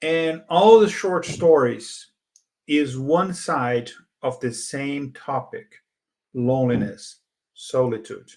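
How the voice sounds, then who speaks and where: Gravelly-voiced man speaking Portuguese natively. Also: mid-range voice, takes it slowly, a little mellow. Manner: hesitant, many fillers and restarts. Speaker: podcast co-host. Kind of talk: casual conversation.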